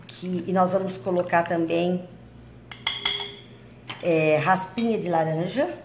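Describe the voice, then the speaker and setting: A woman's voice: on the low side, slow, roomy sound, noisy background. A woman hosting a home cooking video, chatting and explaining each step as she mixes dough in her kitchen. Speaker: cooking channel host. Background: clinking utensils and bowls.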